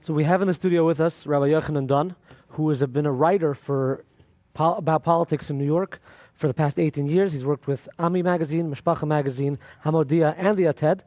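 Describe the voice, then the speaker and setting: Fast-talking man introducing a guest. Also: on the high side, fairly even intonation, a little mellow, no room sound. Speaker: studio co-host.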